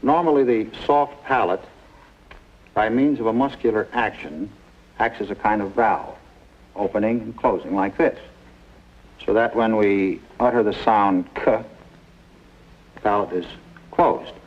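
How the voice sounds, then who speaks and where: An actor playing a kindly, measured surgeon in a 1950s television medical drama. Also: male voice, lowish voice. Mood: explanatory. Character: kindly, measured male surgeon explaining and reassuring. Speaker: doctor. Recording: dull vintage television soundtrack, music behind.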